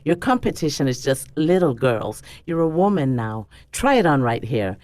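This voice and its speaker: A woman's voice: sits low, very animated, normal speed, a little mellow, dry recording. Woman playing a pushy, predatory pageant coach, swooping voice. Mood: pushy, dismissive. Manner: commanding, coaxing, dismissive. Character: domineering, predatory female pageant coach, smooth-talking and pushy. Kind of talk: staged dramatic reading.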